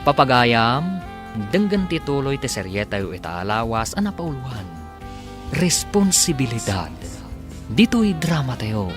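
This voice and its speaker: A man's voice: mid-range voice, very animated, measured pace. A man with an Ilocano accent narrating a radio drama serial in a dramatic, declamatory announcer style. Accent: Filipino, Ilocano. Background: music.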